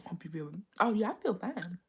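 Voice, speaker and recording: A woman's voice: fairly low pitch, sing-song, a little fast, vocal fry, breathy edge. A woman hosting a casual livestream, chatting and answering viewer comments, a bit stuffy from a cold. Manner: chatty, rambling, reads viewer comments aloud. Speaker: livestream host.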